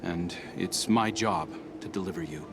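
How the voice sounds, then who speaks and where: Low, solemn, duty-bound leader. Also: male voice, moderate pace, noisy background. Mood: solemn, subdued. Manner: solemn, earnest. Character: grave, duty-bound male leader of a vanguard. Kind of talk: video game cutscene narration and dialogue. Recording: music behind.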